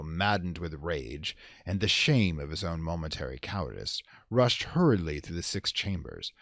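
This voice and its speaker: A man's voice: low voice, no room sound, clean studio sound. A man hosting and reading horror stories in a theatrical, ornate manner, with a gothic storyteller's delivery. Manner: theatrical, ornate phrasing with wry asides. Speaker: horror story reader and channel host. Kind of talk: dramatic gothic audiobook reading, then informal host sign-off.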